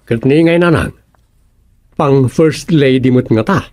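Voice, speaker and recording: A man's voice: mid-range voice, measured pace, a little mellow, dry recording, clean studio sound, natural voice. A man with an Ilocano accent playing a bantering grown son in a village radio comedy-drama. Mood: teasing.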